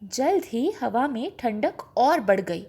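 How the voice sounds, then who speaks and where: A woman with a high voice tells a children's story. She goes slowly and expressively, with wide swings of intonation that give the telling drama. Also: very animated, mellow, husky.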